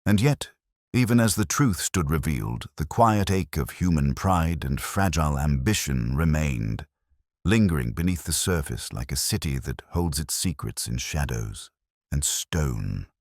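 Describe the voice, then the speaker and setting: A man reading a scripted first-person detective story in a measured, formal, reflective manner. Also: extremely low pitch, big pitch swings, no echo, studio quality. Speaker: audiobook-style story narrator.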